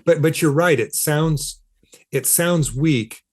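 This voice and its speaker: A man's voice: a little high, slow. A man speaking as an interview guest, explaining ideas conversationally and repeating phrases for emphasis. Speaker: interview guest, philosopher of science and author.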